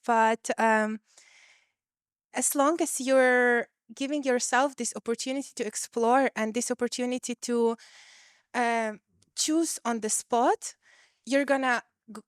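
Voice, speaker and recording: Young female PhD student on a video call; smooth, clear voice, thoughtful and earnest. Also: fairly high pitch, no echo, studio quality. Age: young adult. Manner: thoughtful, many fillers, earnest. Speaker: PhD student, panel guest. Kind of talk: casual panel answers.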